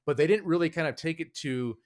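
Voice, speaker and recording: A man's voice: a little high, fast, darkish tone. A man as a podcast guest, explaining his views in a hedging, thoughtful way with many fillers. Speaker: author and guest. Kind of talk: casual interview talk.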